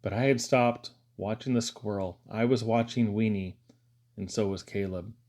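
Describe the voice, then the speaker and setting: A man's voice: lowish voice, fairly even intonation, moderate pace, darkish tone. A man reading a first-person story aloud as an audiobook narrator. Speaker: audiobook reader.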